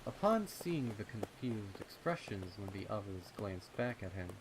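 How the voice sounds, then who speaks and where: A man reads scripted horror fiction in a lowish voice. His delivery is very slow and deliberate, and night insects chirp clearly behind him. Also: very animated, background noise. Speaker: fan fiction narrator. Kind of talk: scripted dark storybook narration. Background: crickets and night insects.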